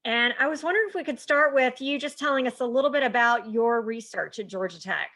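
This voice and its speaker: A woman's voice: fairly high pitch, a little fast, a bit bright. A woman hosting a webinar interview, asking questions in an enthusiastic, encouraging way. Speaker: host.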